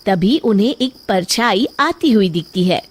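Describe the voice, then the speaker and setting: Slow, expressive woman narrator. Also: mid-range voice, breathy edge. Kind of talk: scripted children's story narration.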